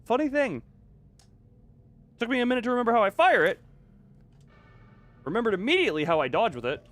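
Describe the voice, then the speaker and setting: A man's voice: very high voice, big pitch swings, fairly quick, a bit bright. A man giving casual live gameplay commentary, rambling, self-deprecating and thinking aloud. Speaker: video game streamer. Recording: faint background noise and game ambience.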